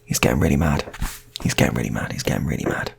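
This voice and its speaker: A man's voice: very deep voice, very fast, vocal fry, slightly rough. A man telling an improvised story in a casual, rambling way, often repeating his phrases.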